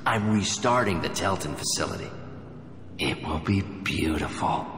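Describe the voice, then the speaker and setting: A man's voice: sits low, animated, a little slow, fairly bright tone. A man playing a haunted, obsessive survivor of childhood experiments in a video game, speaking with brooding intensity. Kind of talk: video game cutscene acting. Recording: echoey hall, faint background noise.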